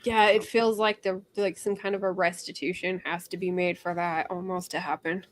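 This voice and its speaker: A woman's voice: lowish voice, little pitch movement, moderate pace, a little hiss or hum. A woman hosting a casual book discussion in a chatty, informal and slightly tentative way. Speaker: discussion host.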